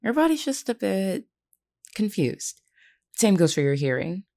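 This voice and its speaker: A woman voicing a gentle, candid vampire queen in an audio roleplay, speaking in a measured, reassuring way with formal wording to one listener. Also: medium pitch, sing-song, gravelly. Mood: calm, reassuring.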